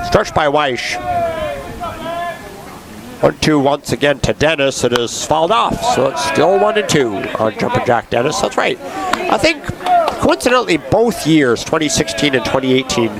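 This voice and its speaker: Male baseball announcer, congested, lively pitch, chatty, loud ballpark crowd behind. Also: creaky. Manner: chatty, digressive with sports history asides. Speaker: play-by-play announcer. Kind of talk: baseball play-by-play commentary.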